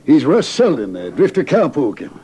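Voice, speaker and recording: A man's voice: fairly high pitch, wildly swooping pitch, moderate pace, warm tone, slightly rough, exaggerated voice. An American man acting a decisive, folksy sheriff in an old radio western. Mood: satisfied. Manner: folksy, commanding.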